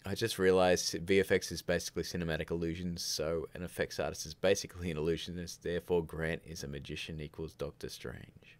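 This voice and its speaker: A man's voice: low-pitched, little pitch movement, brisk, few pauses. A man hosting a live stream, chatting casually and rambling through questions with candid opinions and jokey asides. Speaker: livestream host of a filmmaking show.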